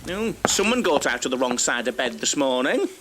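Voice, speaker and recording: An actor playing a chatty, fussy, jokey coach passenger in a comedy audio play, with a flustered, prattling manner. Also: male voice, mid-range voice, sing-song, normal speed, a bit bright.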